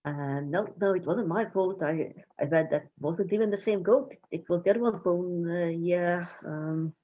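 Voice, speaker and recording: Woman as a low, husky, greedy peasant boy. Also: normal speed, highs cut off, lightly acted. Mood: guilty, evasive. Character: greedy, ever-hungry peasant boy, sheepish. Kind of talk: amateur dramatic reading of a myth.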